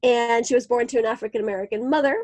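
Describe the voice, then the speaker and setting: A woman's voice: on the high side, steady tone, rapid-fire, a little mellow. A young woman from Venezuela co-hosting a podcast, chatting in a rambling, giggly way. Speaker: podcast co-host. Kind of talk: casual interview chat.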